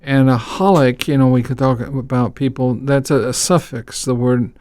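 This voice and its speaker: Smooth-voiced host explaining English words. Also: male voice, mid-range voice, steady tone, moderate pace, a little mellow, no echo, studio quality. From Texas. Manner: teacherly, explains words and phrases. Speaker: podcast host. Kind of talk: casual interview conversation.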